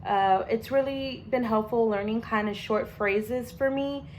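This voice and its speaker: A woman's voice: a little high, a little slow, a little mellow, a little hiss or hum. A young adult woman, a language student, gives a casual spoken class presentation in a chatty way, full of fillers and restarts.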